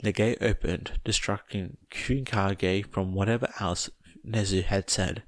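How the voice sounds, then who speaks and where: A man reading a story aloud as scripted narration, in a measured, deliberate way. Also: fairly low pitch, steady tone, dry recording. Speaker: audiobook narrator of fan fiction.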